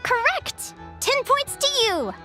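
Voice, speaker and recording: A woman voicing a young adventure advisor running a quiz, upbeat and teasing like a lively teacher, in video game dialogue. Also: extremely high pitch, slow, exaggerated voice. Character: young female adventure advisor, bright and playful quizmaster. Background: game music.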